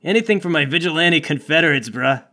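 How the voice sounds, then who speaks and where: A man acts out a cocky cyborg vigilante in a comedic tabletop game. He talks in breezy bro slang, with a bright, forceful voice that swings widely in pitch. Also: animated, quick pace. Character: laid-back, cocky male cyborg vigilante dude.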